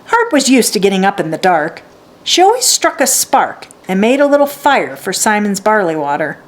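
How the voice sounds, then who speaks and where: A woman reading a children's story aloud as scripted, expressive narration. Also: medium pitch, moderate pace.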